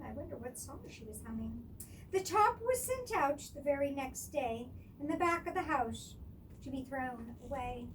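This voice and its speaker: A woman reading a rhyming picture book aloud expressively and theatrically, with chatty asides. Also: high-pitched. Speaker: storyteller. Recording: distant microphone with clear background noise.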